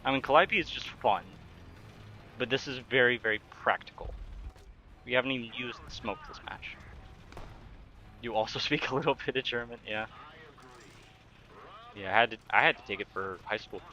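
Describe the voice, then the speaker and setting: A man's voice: mid-range voice, normal speed, pauses often, bright, forceful tone, muffled recording. A young man livestreaming a game, chatting casually, reading out chat questions and answering them while he talks through his play. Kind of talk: casual live-stream chat while gaming.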